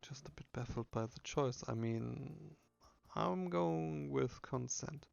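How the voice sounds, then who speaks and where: A man reading a text adventure game aloud as narration, with a subdued, flat delivery. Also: medium pitch, takes it slowly, gravelly, smooth, muffled recording.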